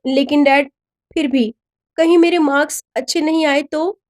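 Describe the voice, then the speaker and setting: A woman's voice: sits high, fairly even intonation, normal speed, voice pushed up, lightly acted. A woman narrator voicing an anxious, loving teenage schoolgirl in a story. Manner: earnest, affectionate.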